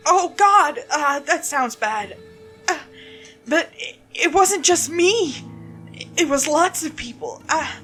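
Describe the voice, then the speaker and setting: A woman's voice: high-pitched, lively intonation, slow pace, sharp tone, faint music behind, pitched above the performer's own voice, full character voice. A woman voicing a shaken, panicked suspect in a horror story, stammering and tremulous as they plead with police. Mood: panicked, distraught. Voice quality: tremulous, breathy gasps. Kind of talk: dramatic horror story reading.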